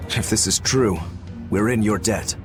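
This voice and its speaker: A man's voice: lowish voice, unhurried, close to an everyday voice. An actor playing a wary, serious fighting man in a video game. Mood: sober. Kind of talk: English video game dub acting. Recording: loud music behind voice.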